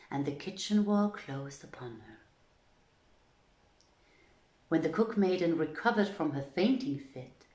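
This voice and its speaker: The room rings around a woman narrating an old literary tale. Her voice sits slightly low, and she reads very slowly, with dramatic, deliberate phrasing. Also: reverberant. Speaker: storyteller, reader.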